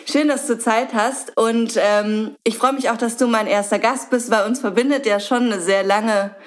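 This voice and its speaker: A woman's voice: fairly high pitch, steady tone, normal speed. A young woman hosting a casual interview in a guest's home, chatty and often hesitating. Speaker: host.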